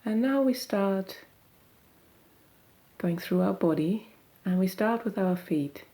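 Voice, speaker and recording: A woman guiding a meditation in a gentle, unhurried, soothing manner, leaving long pauses between phrases. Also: medium pitch, lively intonation, slight background noise. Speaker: meditation guide. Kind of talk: guided meditation.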